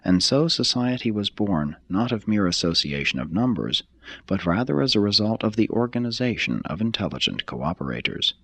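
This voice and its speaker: A man reading a formal text aloud as scripted narration, in a measured, deliberate manner. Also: lowish voice, big pitch swings, fairly bright tone, slightly rough, dry recording. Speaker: audiobook reader.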